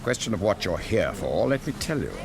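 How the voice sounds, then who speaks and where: An actor in a radio drama playing a slangy, mocking bully who makes cool threats to a rival. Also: male voice, lowish voice, sing-song, brisk, background noise. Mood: mocking menace. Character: menacing, slangy upper-class man bullying a rival.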